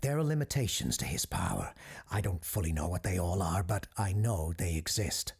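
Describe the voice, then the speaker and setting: A man's voice: low voice, lively intonation, moderate pace, creaky, a little mellow, husky, full character voice. An actor playing an earnest, cautious male host of a paranormal radio call-in show in an audio drama. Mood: grave warning.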